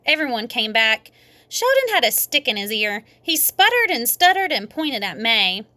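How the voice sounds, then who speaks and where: A woman with a fairly high, very bright voice reads a children's story aloud. Her delivery is expressive and emphatic, slowing down for dramatic effect. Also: no room sound. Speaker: storybook reader.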